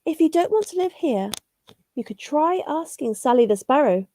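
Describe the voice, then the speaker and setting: Woman as friendly male caterpillar, children's storybook, high pitch, sing-song, welcoming. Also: sing-song, moderate pace, mellow. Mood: inviting.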